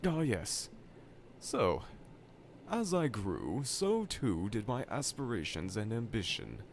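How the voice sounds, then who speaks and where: A man's voice: fairly low pitch, big pitch swings, takes it slowly, exaggerated voice. A man playing a dejected, pompous evil scientist villain from a cartoonish game, delivering a theatrical, self-pitying roleplay monologue to the listener. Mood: wry. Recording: clear background laboratory ambience noise.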